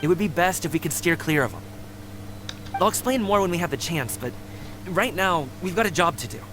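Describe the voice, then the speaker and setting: A man's voice: a little high, animated, a little fast, noisy background, natural voice. An actor voicing a friendly, attentive young man with a casual, earnest, boyish manner in an anime-style game. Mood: uneasy. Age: young adult. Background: game music.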